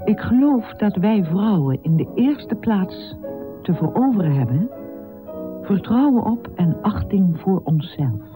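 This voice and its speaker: An actress playing an earnest, determined nineteenth-century woman doctor and pioneering feminist in a radio play, speaking formally and deliberately. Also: mid-range voice, very animated, over music.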